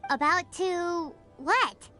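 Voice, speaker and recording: A woman voicing a small, cheerful fairy companion in an anime video game, squeaky and chirpy with exaggerated cartoon delivery. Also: extremely slow, sharp tone, faint music behind, full character voice. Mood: curious. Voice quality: squeaky, childlike.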